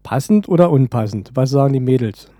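A man's voice: medium pitch, expressive, fairly quick, mellow, dry recording, studio quality. A man hosting an informal group interview, asking the questions. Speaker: interview host.

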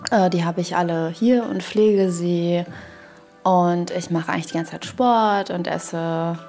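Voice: medium pitch, steady tone, unhurried, darkish tone, soft background music. A woman's voice co-hosting a podcast in casual chat, playful and ironic, wandering from thought to thought. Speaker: podcast co-host.

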